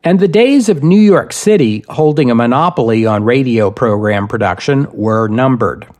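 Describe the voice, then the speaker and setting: A man narrating scripted history as a podcast host, in measured, deliberate phrasing. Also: medium pitch, animated, a little mellow.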